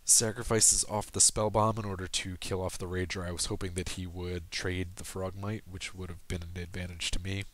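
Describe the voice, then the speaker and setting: Low, flat-voiced man explaining a card game. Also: normal speed, few pauses. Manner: steady explanatory, frequent ums and self-corrections. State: losing his voice. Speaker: gameplay commentator. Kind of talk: casual game commentary over screen recording.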